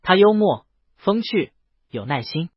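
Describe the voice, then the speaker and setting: A man's voice: high voice, big pitch swings, measured pace, slightly rough, no echo. A man reading a scripted first-person romance story as an audiobook narrator. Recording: dull lo-fi, very dry close recording.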